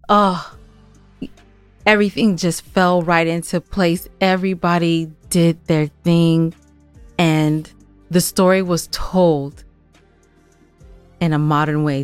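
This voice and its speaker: Effusive woman co-host for a casual recap, lowish voice, gushing with emphatic repeats, close dry sound. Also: smooth, no room sound. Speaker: podcast co-host and scriptwriter.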